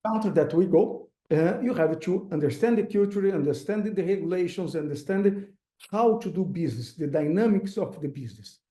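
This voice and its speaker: Brazilian-accented man, high voice, echoey. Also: expressive, dark tone. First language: Portuguese. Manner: halting, searching for words, frequent uh fillers. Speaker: investor and webinar speaker. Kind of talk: webinar presentation.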